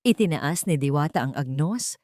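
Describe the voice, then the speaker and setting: A woman reading a dramatic scripted story as narrator, with measured, suspenseful delivery. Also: low voice, lively intonation, a little mellow, dry recording, clean studio sound.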